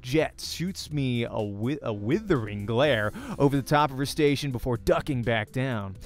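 A man's voice: mid-range voice, animated, moderate pace. A man doing a let's play, reading a visual novel's narration aloud with expression. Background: faint music.

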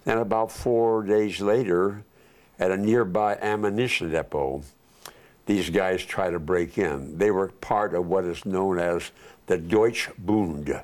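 An older man telling war memories in a casual interview, unhurried and rambling with many fillers, recorded on a lapel mic in a living room. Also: low voice, a little mellow. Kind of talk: casual oral history interview. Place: living room, seated on couch.

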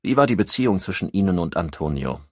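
An insistent police inspector, played by a male audiobook narrator, with a husky voice. He presses sharply and irritably, swinging widely in pitch as a crime-novel investigator. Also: big pitch swings, fast, a little mellow, dry recording, clean studio sound. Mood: probing.

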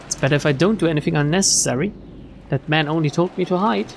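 A streamer reading a visual novel aloud in an expressive way, with casual, joking asides. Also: medium pitch, fast talker, vocal fry, a little hiss or hum. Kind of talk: let's play read-aloud with casual asides.

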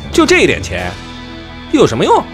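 A man playing a carping, blustery shareholder in an audio drama, needling with drawn-out exclamations. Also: sits high, huge pitch swings, soft background music. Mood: sneering, dismissive. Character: carping, sneering male shareholder, blustery and fickle. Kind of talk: Chinese audio drama acting.

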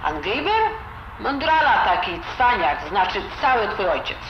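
Woman acting an old Silesian grandmother, blunt, fussing, grumbly, audio drama, dull sound, clearly noisy background. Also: medium pitch, sing-song, measured pace. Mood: scornful. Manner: grumbling, colloquial, full of dialect words. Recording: roomy, dull, noisy home recording.

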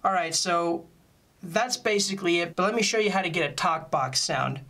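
A man presents a casual step-by-step tutorial. His voice sits a little high for a man and has a bright, forward edge. He explains each point plainly, as an informal instructor would. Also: normal speed, soft background music. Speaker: music gear tutorial presenter.

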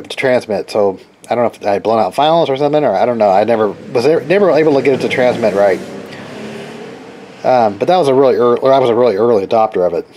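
A man giving a casual, unscripted hands-on demo as a hobby repair channel host, haltingly thinking aloud and repeating phrases. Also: medium pitch, warm tone. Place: cluttered garage workshop with open door. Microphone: handheld camera microphone. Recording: noisy handheld camera in a garage. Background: ambient noise.

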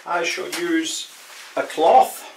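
A man's voice: on the high side, extremely slow, creaky, slight background noise. An older man giving a casual hands-on how-to, chatty and wry with self-deprecating humour. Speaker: DIY tutorial presenter. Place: small tiled bathroom shower, indoors. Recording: roomy tiled echo, distant microphone.

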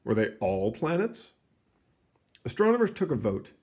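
A man narrates a children's science book with expressive, explanatory delivery. His pitch moves around a lot, lively and varied. The tone is mellow and relaxed, with a husky edge. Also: a little slow. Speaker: read-aloud narrator. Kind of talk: reading a children's science book aloud, with short asides.

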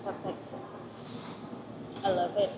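Playful soft-spoken woman in a noisy mall. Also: mid-range voice, little pitch movement, slow. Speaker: vlog companion. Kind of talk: casual chat. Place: busy shopping mall.

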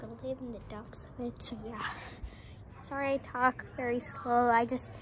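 A young-sounding female performer voicing a shy, hesitant homeless neighbor who talks haltingly in a homemade toy drama. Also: fairly high pitch, steady tone, a crowd in the background, voice pushed down, lightly acted. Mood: timid, sheepish.

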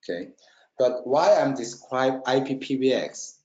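A man presenting a technical webinar in halting non-native English, checking often that listeners follow. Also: medium pitch, lively intonation, a little mellow. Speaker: webinar presenter, company marketing trainer. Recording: roomy, dull lo-fi webinar audio.

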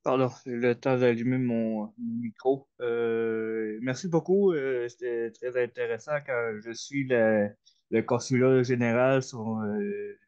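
A man asking a question on a video call, halting and full of fillers and restarts. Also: medium pitch, steady tone, a little mellow, clear, resonant tone. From Canada. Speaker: audience member asking a question. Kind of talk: hesitant spontaneous question. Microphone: computer mic on a video call. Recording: slightly dull video-call audio.

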